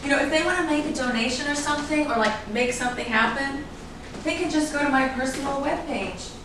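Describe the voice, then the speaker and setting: A woman's voice: high-pitched, brisk, natural voice. A woman playing a vain, self-assured reality-show star in a staged drama reading. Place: room at a live staged reading. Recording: echoey room, distant mic, noisy.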